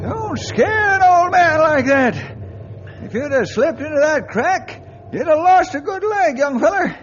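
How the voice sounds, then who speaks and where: A man's voice: very high-pitched, big pitch swings, unhurried, full character voice. A man playing a feisty, protective, folksy old sidekick in a 1950s radio drama. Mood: scolding relief. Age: older. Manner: folksy, scolding. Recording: dull, noisy 1950s radio recording.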